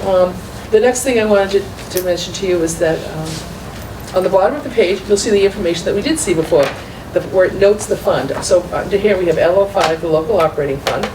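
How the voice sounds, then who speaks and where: A woman's voice: lowish voice, quick pace. A woman presenting a financial report at a school committee meeting, walking the members through documents step by step. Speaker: school business manager. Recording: echoey far-off room mic with noise.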